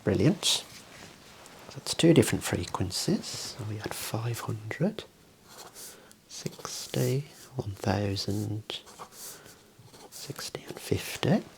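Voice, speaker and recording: A man whispering slow, gentle instructions in an ASMR medical exam role play, softly praising between steps. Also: on the low side, animated, creaky, low-quality recording. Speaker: ASMR creator playing a clinician.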